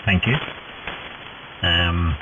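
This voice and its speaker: A middle-aged man talks in a rambling, hesitant way as a radio amateur. His voice is deep and comes through a narrow shortwave link, with steady static around it. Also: drawn-out words, distant mic. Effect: shortwave radio transmission. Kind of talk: casual radio chat. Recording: muffled, narrow-band radio with clear static hiss.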